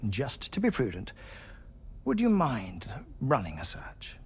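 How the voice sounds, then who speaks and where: An actor playing a measured, erudite man who gives grave briefings in formal, deliberate, articulate game dialogue. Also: mid-range voice, huge pitch swings, darkish tone, a little hiss or hum, heavily acted. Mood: grave, probing. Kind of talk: cinematic game dialogue, expository.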